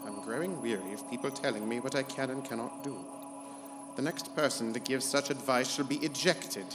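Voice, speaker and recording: A man's voice: mid-range voice, normal speed, natural voice. A man playing a haughty, theatrical and petulant rogue ship computer in a pulp sci-fi comedy radio play. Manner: grandiose, pompous. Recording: live convention hall stage, reverberant, music behind.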